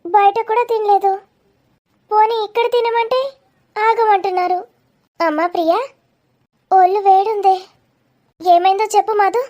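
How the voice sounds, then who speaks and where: A woman voicing young women and a worried mother in an animated village drama. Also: very high-pitched, a little slow, pauses often, dull audio. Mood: worried, scolding. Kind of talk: animated story dialogue in colloquial Telugu.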